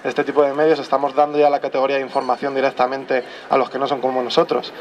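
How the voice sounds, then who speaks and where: Rich: An earnest young man speaks up at an outdoor neighborhood assembly. His pitch sits in the middle of a man's range. He talks haltingly, restarts his phrases and keeps checking in with the crowd, while city traffic rumbles clearly behind him. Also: monotone, dull audio. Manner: earnest, halting, many restarts and tag questions. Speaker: audience member at a public assembly. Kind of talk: spontaneous public debate contribution. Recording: outdoor recording with clear street noise. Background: traffic and city noise.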